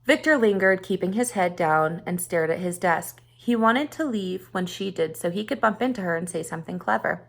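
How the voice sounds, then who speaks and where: A woman reading a short story aloud like a teacher narrating for students, with expressive emphasis on key words. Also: fairly low pitch, moderate pace, vocal fry, few pauses.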